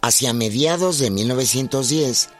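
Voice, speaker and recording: A man's voice: mid-range voice, animated, normal speed, natural voice. A man narrating a biographical radio drama as a grave storyteller, reading from a script. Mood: measured, serious. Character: grave storyteller chronicling a revolutionary's life. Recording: music behind the voice.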